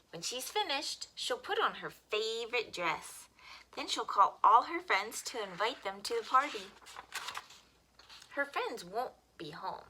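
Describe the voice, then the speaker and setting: A woman with a very bright, forward voice reads a picture book aloud for young children. Her pitch moves animatedly as she shapes each simple sentence expressively. There is faint noise behind her. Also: rough recording. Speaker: story time host reading children's books. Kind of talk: children's read-aloud storytelling.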